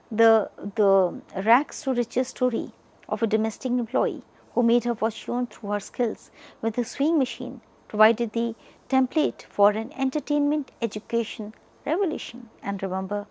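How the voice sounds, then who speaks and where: A woman with an Indian accent delivering an educational lecture in a deliberate way, stressing the starts of phrases and letting the ends trail off. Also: fairly high pitch, a little mellow. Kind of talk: educational lecture, partly read from notes.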